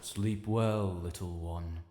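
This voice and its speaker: Cavernous echo, ancient dragon lord played by a man, British accent, low-pitched, formal, stately, protective, fantasy roleplay. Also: steady tone, mellow. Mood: calm, courteous. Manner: formal, measured, stately diction. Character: ancient male dragon lord, regal, stern yet protective. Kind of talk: fantasy audio roleplay, addressing the listener. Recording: echoey cavernous reverb.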